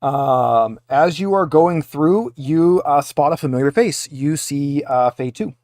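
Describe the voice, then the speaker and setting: A man running a tabletop roleplaying game as game master, narrating in a halting, conversational way. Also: a little high, a little mellow, no room sound, clean studio sound.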